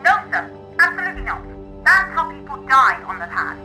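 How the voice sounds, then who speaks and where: An actress playing a firm, alarmed space-base technician in a sci-fi audio drama. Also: female voice, high voice, very animated, fairly quick, over music, low-quality recording. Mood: urgent, insistent.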